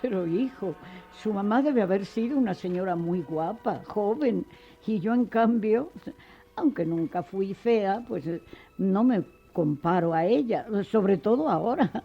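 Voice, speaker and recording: An actress playing a kindly, forgiving older schoolteacher in a radio soap, speaking gently, earnestly and formally. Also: female voice, mid-range voice, expressive, unhurried, gravelly, breathy edge, heavily acted. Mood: tender. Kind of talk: melodramatic radio soap acting. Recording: dull, band-limited radio drama recording with music.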